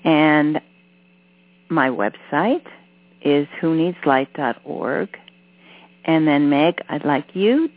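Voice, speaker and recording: A woman hosting a call-in radio show, reading out announcements at an unhurried pace. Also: deep voice. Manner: unhurried, reading out numbers slowly. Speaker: host. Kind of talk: casual radio chat with announcements. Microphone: telephone. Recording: phone-line audio with mains hum.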